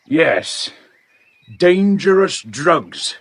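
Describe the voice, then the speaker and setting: Casting: stern farmer in an audio play, raspy, higher-set voice, commanding. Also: male voice, sing-song, extremely slow, no room sound, heavily acted. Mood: grave, worried. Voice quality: gravelly, rough. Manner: commanding, interrogating, emphatic. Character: gruff, forceful farmer secretly working with the police.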